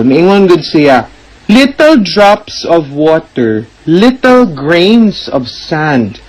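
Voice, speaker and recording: A woman hosts a radio advice program with a Cebuano Filipino accent. She addresses listeners very slowly and reflectively, sometimes slipping into English. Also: fairly low pitch, low-quality recording. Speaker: radio legal advice host, attorney.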